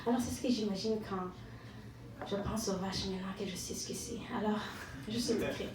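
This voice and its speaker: A woman from Rwanda performs a self-mocking, ironic stand-up monologue, often pausing and repeating words. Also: medium pitch, fairly even intonation. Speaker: stand-up comedian. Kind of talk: stand-up monologue to a live audience. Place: live venue with an audience. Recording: echoey room, distant mic, audience noise.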